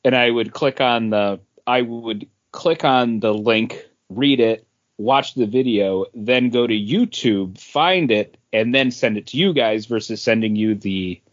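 Mid-pitched man in dull lo-fi podcast audio. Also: measured pace. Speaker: podcast co-host. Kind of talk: casual chat.

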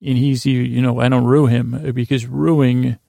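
A man hosting a bedtime podcast in a creaky, gravelly voice, rambling and meandering at a languid, halting pace to lull listeners to sleep. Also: medium pitch, steady tone, warm tone, no echo, studio quality. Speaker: podcast host.

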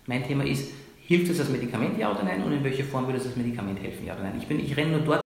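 A man from Iran who is a doctor, arguing emphatically as an interview guest, often ending points with a quick tag question. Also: mid-range voice, lively intonation, creaky. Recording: very echoey room.

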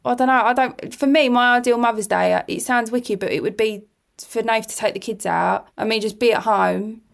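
A woman's voice: on the high side, moderate pace, gravelly. A woman in her thirties co-hosting a podcast, chatting frankly with casual, informal phrasing. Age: 30s. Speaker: podcast co-host. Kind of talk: casual chat.

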